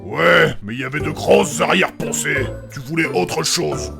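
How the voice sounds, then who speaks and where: A man playing a blunt, impatient, foul-mouthed grump in a comic fantasy audio drama, gravelly and mocking. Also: fairly high pitch, normal speed, music in the background. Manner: mocking, deadpan, crude.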